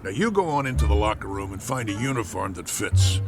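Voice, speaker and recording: An actor playing a gruff, shrewd baseball manager with an American accent and a sarcastic, slangy, blustery delivery in a radio drama. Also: male voice, on the low side, animated, a little fast, close to an everyday voice. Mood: shrewd, patronizing. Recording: music behind the voice.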